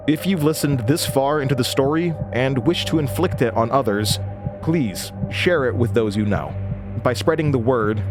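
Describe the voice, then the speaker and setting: A man reading a scripted closing announcement as the creator of an audio drama. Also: mid-range voice. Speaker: show creator reading credits. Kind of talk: scripted outro narration. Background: music.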